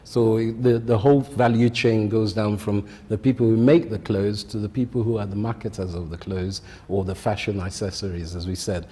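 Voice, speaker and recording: A man with a Nigerian accent, a content creator and fashion designer answering interview questions in a measured way, with many ums. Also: lowish voice, little pitch movement, warm tone, husky, in a large hall.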